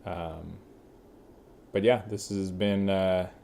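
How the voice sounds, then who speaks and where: A man's voice: sits low, steady tone, takes it slowly, vocal fry, mellow, slight background noise. A young man co-hosting a podcast, chatting casually, swearing often and calling his friend dude. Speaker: podcast co-host. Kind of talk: casual chat.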